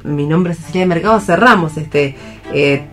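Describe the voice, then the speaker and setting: A woman's voice: sits low, moderate pace, soft background music. A woman with an Argentine accent hosting a casual round-table discussion on the radio. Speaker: host of a radio theatre programme.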